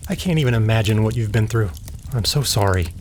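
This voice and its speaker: A man's voice: lowish voice, animated, brisk, darkish tone, a little hiss or hum, close to an everyday voice. A man playing an earnest, apologetic lover in an audio drama. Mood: apologetic, tender.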